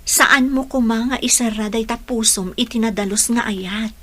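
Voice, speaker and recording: Radio drama lead: devoted young wife, Filipino accent, high-pitched and forceful, emotional, hopeful. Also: female voice, moderate pace. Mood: pleading. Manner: earnest, emotional. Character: lovesick, devoted young bride, pleading and hopeful. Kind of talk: Ilocano radio drama acting.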